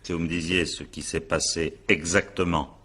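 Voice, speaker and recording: An examining magistrate in a radio drama, with a very low, bright and forceful voice. He questions in a brisk, authoritative way, impatient and dryly sardonic, with a husky edge. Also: steady tone, exaggerated voice. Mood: impatient, ironic. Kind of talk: French radio drama acting.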